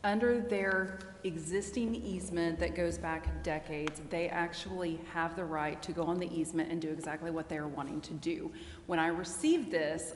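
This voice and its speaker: Low-voiced woman explaining, very echoey hall. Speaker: city legal adviser. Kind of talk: explaining to a public meeting. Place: council chamber. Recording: very echoey hall, faint noise.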